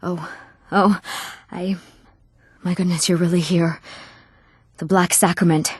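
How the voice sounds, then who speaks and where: A woman's voice: on the low side, level tone, slow, husky, dry recording, clean studio sound, heavily acted. A woman voicing an emotive, dramatic young woman in a fantasy video game. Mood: shocked, hesitant. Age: young adult. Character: eager, wronged young woman of a fantasy world. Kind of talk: video game character voice lines.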